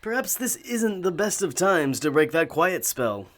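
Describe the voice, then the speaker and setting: An actor playing a polite, earnest gentleman magician in an audio drama, speaking courteously and a little formally. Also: on the high side, big pitch swings, unhurried, a little hiss or hum. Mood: urgent. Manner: courteous, slightly formal phrasing.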